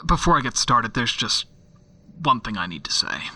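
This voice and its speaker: Gravelly, sardonic homicide detective. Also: male voice, medium pitch, normal speed, heavily acted. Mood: stern, impatient. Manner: dry, sardonic, deadpan. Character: jaded, sceptical male homicide detective, curt and irritable. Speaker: homicide detective recording case notes. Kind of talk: audio drama monologue, dictated case log.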